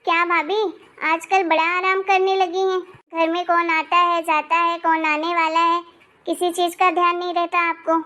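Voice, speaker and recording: A woman voicing an irritable married daughter in an animated family story, sharp, bossy and sarcastic. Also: very high voice, normal speed, lo-fi sound. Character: irritable, bossy married daughter visiting her parents' home.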